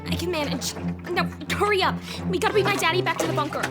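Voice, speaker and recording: Female performer as very high, bossy country girl. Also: fast talker. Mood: urgent, bossy. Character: bossy, urgent country girl hurrying a friend. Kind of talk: audio drama acting. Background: loud music and sound effects.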